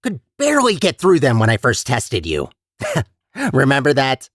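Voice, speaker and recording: A man's voice: medium pitch, wildly swooping pitch, a little slow, a bit bright, dry recording, clean studio sound, full character voice. A man playing a chatty, sardonic and untrustworthy AI guide in a video game, with wry, hesitant conversational asides. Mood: mocking, amused. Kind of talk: video game character voice lines.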